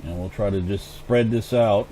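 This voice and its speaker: Hoarse man chatting over gameplay. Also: fairly low pitch, fairly even intonation, normal speed, warm tone, slight background noise. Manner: chatty, thinks aloud while playing. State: hoarse, clearing throat. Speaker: gaming channel host. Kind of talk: casual gameplay commentary.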